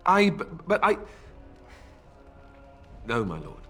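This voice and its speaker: An actor playing a reluctant, indignant young heir to a throne in a video game, protesting. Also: male voice, a little high, huge pitch swings, slow pace, bright, forceful tone, slightly rough, soft background music, exaggerated voice. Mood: flustered, indignant. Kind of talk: dramatic video game voice acting.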